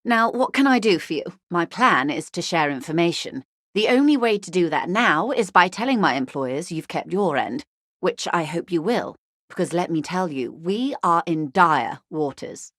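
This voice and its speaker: Posh corporate negotiator lady for a game, lowish voice, very dry close sound, polite n wry. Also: lively intonation, fairly bright tone, dry recording. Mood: businesslike, courteous. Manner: polite, formal, measured and faintly arch. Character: poised, wry, upper-class female corporate negotiator. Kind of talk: video game dialogue acting.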